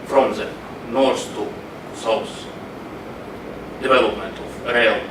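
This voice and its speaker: A man's voice: medium pitch, fairly even intonation, slow pace. A man in the audience at a panel asking a question, hesitant and searching for words, heard from across a conference hall. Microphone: room audio, away from the microphone. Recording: roomy and distant with background noise.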